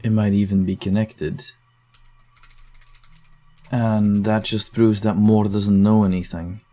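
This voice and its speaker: A thoughtful investigator reasons aloud in a low, mellow voice. He sounds analytical and measured, turning details over one at a time. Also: little pitch movement. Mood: reflective. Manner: reflective, analytical. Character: thoughtful young male case-file investigator.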